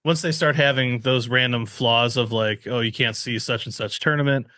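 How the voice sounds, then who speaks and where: A man's voice: medium pitch, steady tone, moderate pace, pushed and bright, no echo. A man co-hosting a podcast, chatting in a casual, conversational way. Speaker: podcast co-host. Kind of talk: casual chat.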